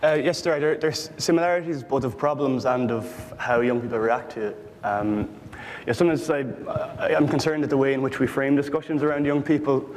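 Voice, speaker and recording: A man's voice: medium pitch, fairly even intonation, a little mellow, slight background noise. Irish man in an echoey hall. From Ireland. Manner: earnest, halting, many restarts. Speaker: panel speaker, political researcher. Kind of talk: panel discussion.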